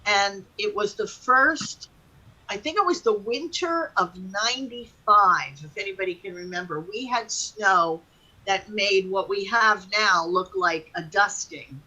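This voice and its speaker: A middle-aged woman chatting casually and telling personal stories in an animated, colloquial, exclamatory way. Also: mid-range voice, a little slow, lo-fi sound. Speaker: talk show participant.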